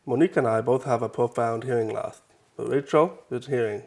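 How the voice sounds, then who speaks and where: A man's voice: mid-range voice, brisk, gravelly, darkish tone, a little hiss or hum. A man delivering a first-person introduction.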